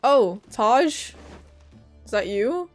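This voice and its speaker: A woman's voice: fairly high pitch, very animated, extremely slow. A woman giving casual live gameplay commentary, thinking aloud and often questioning what she sees. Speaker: game streamer. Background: faint game music.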